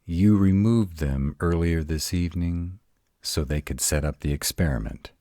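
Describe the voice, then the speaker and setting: A man playing a grumpy, protective male were-dragon who talks in a gruff, sarcastic drawl in a roleplay audio drama. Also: sits low, moderate pace, warm tone, no room sound, clean studio sound, close to an everyday voice. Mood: indignant, probing.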